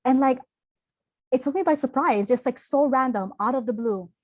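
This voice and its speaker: A woman's voice: high voice, moderate pace, a little mellow, no room sound. Young woman, earnest, conversational, video call audio, dry sound. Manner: earnest, open, conversational with fillers. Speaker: workshop presenter. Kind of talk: online workshop talk.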